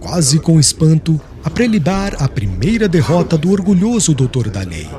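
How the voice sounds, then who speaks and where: A man's voice: a little high, very animated, normal speed, dark tone, faint music behind. A man reading a solemn, dramatic audiobook narration.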